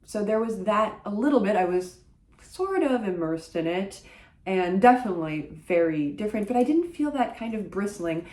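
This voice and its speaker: A woman whose first language is French talks casually to camera about a book she has read, thoughtful and often pausing on fillers. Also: medium pitch, warm tone. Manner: thoughtful, hesitant, many uh fillers. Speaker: book vlogger. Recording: roomy echo.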